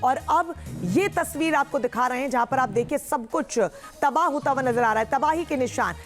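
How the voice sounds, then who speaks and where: A woman's voice: high voice, moderate pace. A woman presenting live breaking news as an anchor, in an urgent, emphatic, repetitive way. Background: news music bed.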